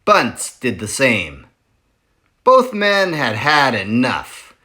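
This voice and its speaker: Slow, expressive man reading a children's book. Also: medium pitch. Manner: slow, expressive, sing-song storytelling. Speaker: reader at a school library. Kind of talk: children's chapter book read-aloud.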